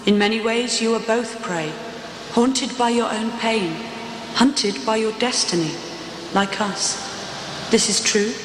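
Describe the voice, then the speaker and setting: A woman's voice: on the high side, lightly acted. Woman playing proud lioness elder, cavernous echo. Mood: solemn. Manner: solemn, formal, measured. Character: proud, wary female leader of hunted lion people. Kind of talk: sci-fi audio drama acting. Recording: echoey cavernous reverb with noisy ambience.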